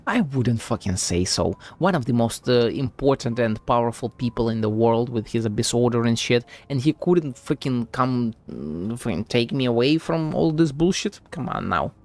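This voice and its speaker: A man's voice: mid-range voice, expressive, moderate pace, few pauses, a little mellow. A man giving casual live gameplay commentary, sceptical and profane as he thinks aloud. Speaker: game streamer. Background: game music.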